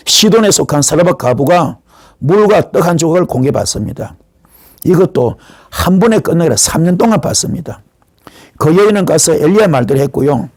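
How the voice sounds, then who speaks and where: A man's voice: on the high side, darkish tone, no room sound, studio quality. A man preaching as a pastor, retelling Bible stories like a storyteller, acting out dialogue and posing rhetorical questions.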